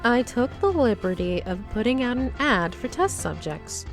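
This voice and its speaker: A street-smart, determined woman lab assistant in a fantasy audio drama. She is assertive and persuasive, fairly high in pitch with lively inflection, over a light music bed. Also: normal speed, gravelly. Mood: cool, businesslike.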